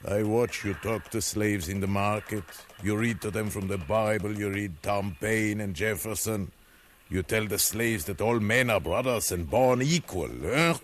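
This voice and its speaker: An actor playing a gruff, scolding slave-owning ship captain with a soft side, blustering theatrically in 1950s radio drama. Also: male voice, on the low side, a little slow, natural voice. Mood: stern scolding. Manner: blustering, theatrical, punctuated with querying grunts. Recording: faintly noisy vintage radio recording.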